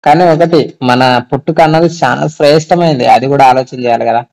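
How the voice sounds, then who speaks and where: A man's voice: medium pitch, brisk, vocal fry, darkish tone. A man talking casually on a phone call about faith, explaining and often asking check-back questions. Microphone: telephone.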